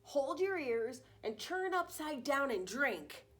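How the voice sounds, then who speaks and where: A confident, bossy dog friend in a children's storybook, read by a woman. The voice is raised in pitch and gives emphatic instructions. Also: big pitch swings, slow pace, fairly bright tone, full character voice. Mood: instructing.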